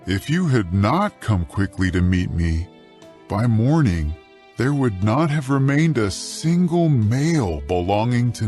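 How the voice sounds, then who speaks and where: An actor playing an earnest, solemn warrior leader of ancient Israel in a dramatized scripture reading, with deliberate, declamatory delivery. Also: male voice, medium pitch, a little mellow.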